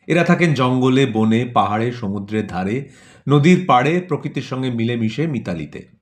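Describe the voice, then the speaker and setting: A man tells a scripted satirical story. His delivery is rhetorical and measured, built on repeated phrases and short lists. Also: mid-range voice, expressive. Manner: rhetorical, measured phrasing with repetition and listed words. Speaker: narrator of an audio play.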